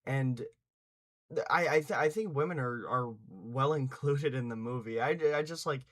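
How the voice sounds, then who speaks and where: A young man thinks out loud in a loose, unscripted commentary, stumbling over restarts and trailing fillers. His voice sits at an ordinary middle pitch, and he drops in a curse when he wants to press a point. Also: animated, normal speed. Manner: hesitant, many restarts and fillers, swears for emphasis. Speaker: channel host giving opinions.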